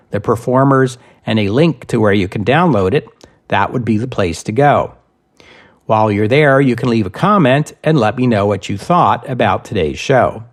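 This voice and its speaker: A man narrating scripted history as a podcast host, in measured, deliberate phrasing. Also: medium pitch.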